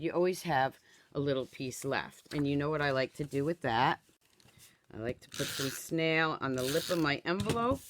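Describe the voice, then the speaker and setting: A woman in her sixties, slightly hoarse from a cold, leading a live craft tutorial in a chatty way and often checking in with "okay?". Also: rumbling low, noisy background. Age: 60s. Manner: chatty, tags instructions with "okay?". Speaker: stamping craft demonstrator.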